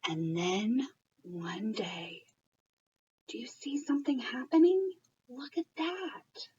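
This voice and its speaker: Female early-years storyteller, higher register, expressive and encouraging read-aloud delivery, dull lo-fi home sound. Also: takes it slowly, recorded from a distance, slight background noise. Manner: expressive, encouraging, questioning, addressing children and parents. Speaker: early childhood educator reading stories. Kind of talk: children's picture-book read-aloud with commentary.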